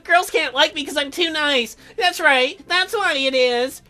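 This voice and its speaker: A woman's voice: high voice, unhurried, sharp tone, soft background music, voice pushed up. Woman putting on a whiny, mopey teenage boy. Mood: self-pitying. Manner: whiny, dejected.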